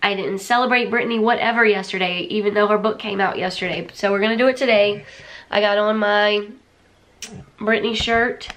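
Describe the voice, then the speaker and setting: A woman's voice: mid-range voice, fairly even intonation, moderate pace, a bit bright. A woman, chatting casually to camera in a small room at home, congested and rambling with lots of fillers and restarts. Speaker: vlogger. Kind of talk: casual chat. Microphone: camera or phone at arm's length.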